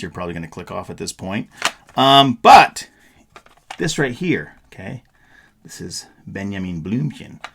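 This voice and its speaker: A man's voice: fairly low pitch, a little hiss or hum. A middle-aged man giving a casual, playful, rambling explanation in a show-and-tell vlog style. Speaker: vlogger.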